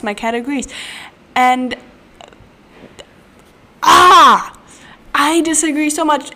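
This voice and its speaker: A woman's voice: high voice, fairly bright tone. A young woman with a German accent hosting a casual solo podcast, chatty and playful, giggling through her asides. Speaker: podcast host. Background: faint room ambience, cat nearby.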